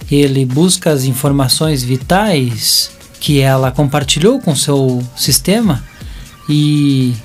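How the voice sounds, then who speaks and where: Slow-paced Brazilian male narrator. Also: fairly high pitch. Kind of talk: scripted audio drama narration. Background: music.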